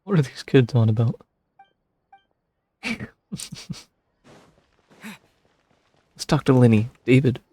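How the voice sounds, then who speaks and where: A man's voice: mid-range voice, expressive, slow, long pauses, dark tone, breathy edge, dry recording. A man streaming a game with casual, offhand commentary and amused asides.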